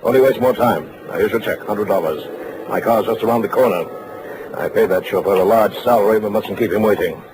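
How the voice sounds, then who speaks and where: Man acting a fast-talking, pompous tycoon, noisy old radio. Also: lowish voice, close to an everyday voice. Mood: pompous scolding. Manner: brisk, pompous, bossy. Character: pompous, impatient male business tycoon obsessed with punctuality. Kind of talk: 1940s radio drama acting.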